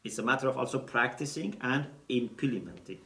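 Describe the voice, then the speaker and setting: A man's voice: mid-range voice, a bit bright. Male lecturer teaching carefully, keeps asking okay?, sounds far off and roomy. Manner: deliberate, explains step by step, checks in with "okay?". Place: lecture room. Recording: roomy echo, mic at a distance.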